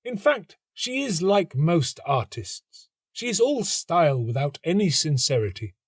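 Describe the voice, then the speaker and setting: A man's voice: a little high, big pitch swings, measured pace, no echo, studio quality, voice pushed up. A man playing an aloof, pedantic young student in a storybook, with an affected, dismissive, pompous delivery. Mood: smug dismissal. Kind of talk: storybook character acting.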